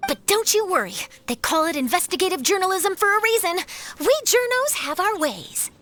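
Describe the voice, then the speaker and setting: Perky young girl reporter, really high voice, bouncy pitch, eager and glib, video game scene. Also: lively intonation, moderate pace, pushed and bright, faint music behind, exaggerated voice. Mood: confident. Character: perky, confident young female investigative journalist. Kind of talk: animated game voice acting.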